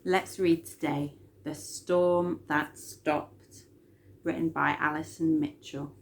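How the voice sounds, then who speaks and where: A woman's voice: low voice, level tone, takes it slowly, slight background noise. A woman reading a children's story expressively, with dramatic emphasis on key words.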